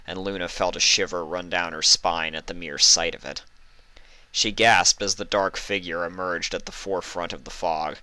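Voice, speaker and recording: A man with a deep voice narrates a story dramatically. His tone is bright and crisp, and the sound is dry and close, with no room on it. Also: no echo, poor audio. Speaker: fan fiction reader, narrator.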